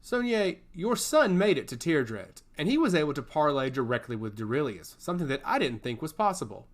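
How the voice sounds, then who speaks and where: Casting: male narrator as a smug fantasy emissary and teasing schemer, theatrical, with lively swooping pitch. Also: brisk. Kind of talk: fantasy audio story character.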